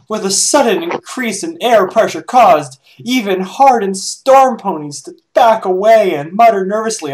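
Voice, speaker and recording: A man giving a halting, theatrical amateur narration of a fan fiction story. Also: fairly high pitch, poor audio. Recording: amateur recording with hiss and clicks.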